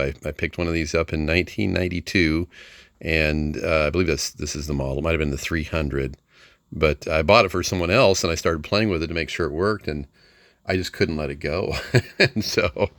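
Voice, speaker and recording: Middle-aged man, low deep voice, dry close sound, hesitant folksy hobbyist demo. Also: a bit bright, no room sound. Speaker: ham radio hobbyist presenting a receiver. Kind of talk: casual unscripted product demonstration.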